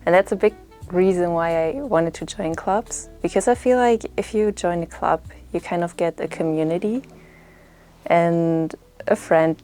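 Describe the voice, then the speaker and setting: This young woman from Germany speaks English with a loose, chatty rhythm full of "like". Her voice sits fairly low, and faint music runs in the background. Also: dark tone. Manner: chatty, uses "like" often. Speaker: language student. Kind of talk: interview answers.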